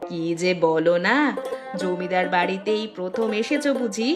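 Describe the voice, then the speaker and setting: Woman as lowish-voiced landlord's-house matriarch. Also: normal speed, over music, close to an everyday voice. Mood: delighted, welcoming. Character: welcoming middle-aged mother-in-law of a landlord household. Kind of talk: animated moral-story voice acting.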